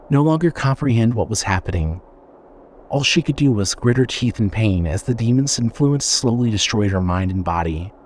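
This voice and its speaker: A man with a fairly low voice narrates a horror story from a script. His delivery is dramatic, and a faint bed of ambient noise sits behind him. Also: a little mellow.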